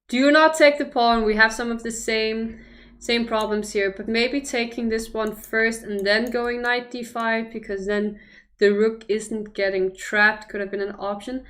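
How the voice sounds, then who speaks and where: Lady streaming chess commentary, slow careful talk, trails off and restarts while working out lines. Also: a little high, fairly bright tone. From Denmark. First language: Danish. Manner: thinks aloud over moves, hesitant restarts.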